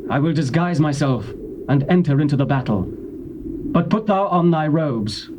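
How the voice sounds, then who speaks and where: A man's voice: a little high, expressive, normal speed, natural voice. An actor playing an imperious, resentful king, commanding and declamatory in a dramatized Bible reading. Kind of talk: dramatized Bible reading, classical stage acting. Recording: old cassette with faint noise.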